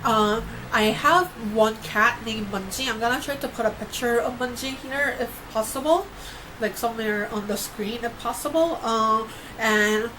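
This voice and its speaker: Young woman, from Korea and New York, casual vlog talk, filler-heavy, noisy recording. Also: a little high. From Korea, grew up in New York. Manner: chatty, many fillers and restarts. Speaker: YouTuber.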